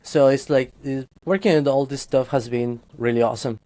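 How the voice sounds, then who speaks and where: A man's voice: medium pitch, a little fast, mellow. A man, a guest chatting candidly in an interview, rambling with restarts and frequent laughs. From Guatemala. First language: Spanish. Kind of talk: casual interview chat.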